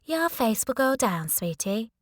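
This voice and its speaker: A woman's voice: a little high, very animated, a little slow. A woman voicing a short, mumsy nurse in an audiobook, kindly and confiding, with a soothing, motherly manner.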